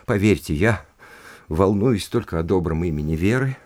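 An actor in an audio drama playing a haughty, indignant aristocratic official who speaks in an emphatic, scornful and domineering way. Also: male voice, deep voice, animated, normal speed, a little mellow. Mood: stern, insistent. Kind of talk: Russian audio drama acting.

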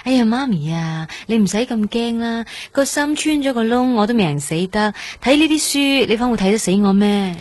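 High-voiced dreamy young woman. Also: expressive, moderate pace, hardly any pauses, a little mellow, no room sound. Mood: light protest. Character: young woman recovering from heart transplant, dreamy, gently stubborn. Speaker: actress in a radio drama. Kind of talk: Cantonese radio drama acting with first-person narration.

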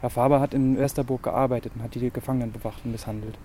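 A man's voice: mid-range voice, steady tone, quick pace, dark tone. Male vox-pop reporter, direct and persistent, recorded outside on a street with light background noise. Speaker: street interviewer. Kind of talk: informal vox-pop questioning. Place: outdoors on a residential street.

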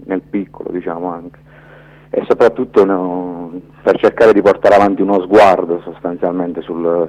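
Male interviewee, very low voice, thoughtful and halting delivery, muffled lo-fi radio sound. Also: dark tone. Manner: hesitant, halting, reflective, searching for words. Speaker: documentary filmmaker, interview guest. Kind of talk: spontaneous radio interview answers. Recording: dull lo-fi radio interview audio.

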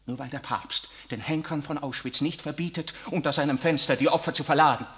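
An actor playing an anguished young priest in a 1960s radio drama, speaking intensely, moving from hushed to impassioned. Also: male voice, fairly high pitch, fairly even intonation, fairly quick, lightly acted. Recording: reverberant studio stage.

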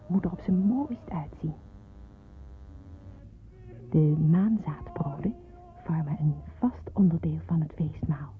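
Earnest schoolgirl narrator, thin phone-like sound. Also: fairly low pitch. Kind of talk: scripted first-person narration in a radio drama. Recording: thin phone-like radio drama recording.

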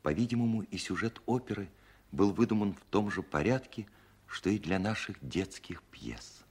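Narrator: reminiscing grown man, radio play, husky low voice, varied intonation. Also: expressive, normal speed, darkish tone. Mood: reflective. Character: grown man reminiscing about his childhood. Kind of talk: scripted autobiographical narration in a 1970s radio play.

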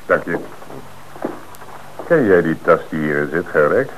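A man acting a calm, confident police commissioner with measured authority in a Dutch radio play. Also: low voice, lo-fi sound. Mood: firm, questioning. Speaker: actor in a radio crime play. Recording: noisy, band-limited old radio recording.

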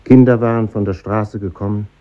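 Actor as a deep, dark, pensive middle-aged man. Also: steady tone, close to an everyday voice. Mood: wistful, pensive. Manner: measured, pensive. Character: reflective middle-aged former pupil narrating, melancholy, grave. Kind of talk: 1950s German radio drama narration. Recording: dull, noisy 1950s radio recording.

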